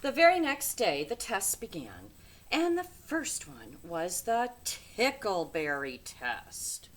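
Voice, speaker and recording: A woman reading a children's picture book aloud with dramatic, deliberate phrasing, speaking to young listeners. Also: lowish voice. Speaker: read-aloud storyteller. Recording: steady background noise.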